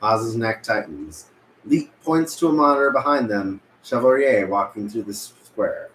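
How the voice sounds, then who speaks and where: Animated male script narrator in echoey room. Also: lowish voice, moderate pace. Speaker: stage directions reader. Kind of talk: scripted narration at a table read. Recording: roomy, echoey with room noise.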